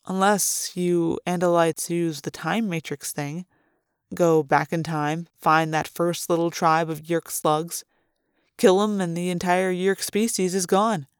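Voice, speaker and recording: A woman voicing a smug, sneering adult man who taunts in a slow drawl. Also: on the low side, fairly even intonation, smooth, dry recording, studio quality, natural voice. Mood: taunting.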